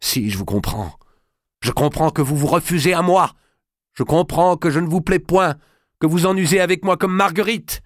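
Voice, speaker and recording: A man reading an audiobook, voicing an anguished, hot-tempered young medieval king with a high voice that turns shrill in anger. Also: moderate pace, darkish tone, no echo, clean studio sound, lightly acted. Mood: furious. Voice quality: high, shrill when angry. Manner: pleading, then bursting into rage.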